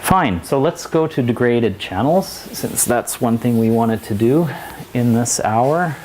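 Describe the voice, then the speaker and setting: A man's voice: medium pitch, expressive, measured pace, a little mellow, slight background noise, poor audio. A man giving a university lecture, talking in a digressive way with many restarts and asides. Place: lecture hall.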